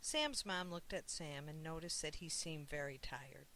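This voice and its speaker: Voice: medium pitch, unhurried, pushed and bright, a little hiss or hum. An author reading a children's book aloud as scripted storybook narration.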